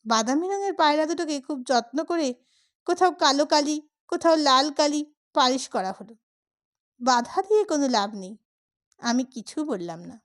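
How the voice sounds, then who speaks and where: A high-pitched female storyteller reads a humorous short story from a script. Her delivery is expressive audiobook narration, and she acts out the characters' lines as they come. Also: moderate pace, long pauses.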